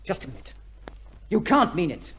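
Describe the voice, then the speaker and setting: An actor playing a tense, brisk colonel with a crisp, authoritative manner in an old-time radio drama. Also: male voice, high-pitched, huge pitch swings, fairly bright tone, full character voice. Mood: urgent, stunned. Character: tense, brisk colonel racing to halt an execution. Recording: faintly noisy old radio recording.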